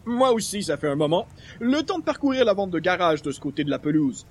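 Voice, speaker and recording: A man's voice: high-pitched, animated, quick pace. A man playing a precise, puzzled comic busybody, articulate and theatrical, in a radio comedy. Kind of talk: old-time radio comedy acting.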